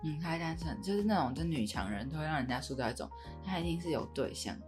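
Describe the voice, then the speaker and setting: A low-pitched woman with a Taiwanese accent chats as a podcast co-host. Music runs softly behind her casual talk. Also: lively intonation, quick pace. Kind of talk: casual chatty hosting.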